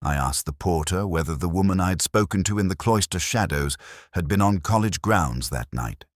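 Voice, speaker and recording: A man narrating a scripted first-person detective story in a measured, reflective, literary manner. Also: low voice, expressive, no echo, studio quality.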